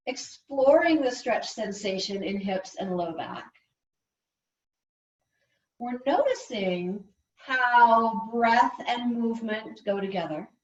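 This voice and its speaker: A woman instructor calmly guiding gentle yoga and relaxation in an unhurried, soothing way. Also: fairly high pitch. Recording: roomy, distant, slightly muffled class recording.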